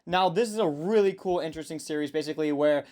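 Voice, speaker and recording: Enthusiastic young man, higher-pitched voice. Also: quick pace, darkish tone, no room sound. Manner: enthusiastic, hyperbolic. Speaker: YouTube host. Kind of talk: casual vlog chat. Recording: music playing behind the voice. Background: background music.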